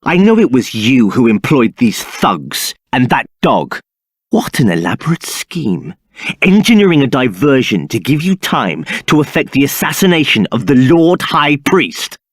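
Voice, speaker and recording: A man's voice: medium pitch, very animated, a little slow, slightly rough, no room sound, heavily acted. An actor playing a scheming, arrogant male templar captain in a video game, speaking in a haughty, condescending manner. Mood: triumphant.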